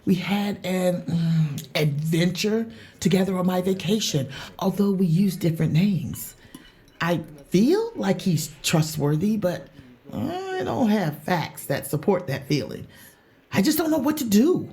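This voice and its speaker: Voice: mid-range voice, big pitch swings, measured pace, warm tone. A player voicing a tired, troubled ship chief mate in a tabletop game. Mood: weary.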